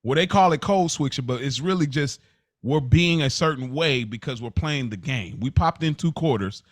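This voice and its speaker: A man's voice: medium pitch, normal speed, no room sound. An American man co-hosting a podcast, debating casually in a slangy, conversational way and often interjecting. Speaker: podcast co-host. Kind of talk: casual debate between friends.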